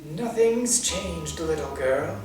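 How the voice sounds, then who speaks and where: A creepy storybook figure with a dry, scratchy, rustling voice like dead leaves, as a man reads him. He speaks slowly and coaxingly, pitched up, and sounds far off in an echoing room with music underneath. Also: expressive. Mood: taunting. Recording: roomy, distant, with music.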